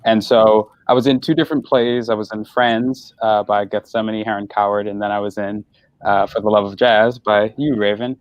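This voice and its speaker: A man, a stage actor, giving a thoughtful interview answer in a measured way, searching for his words. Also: lowish voice, fairly even intonation, dry recording, highs cut off.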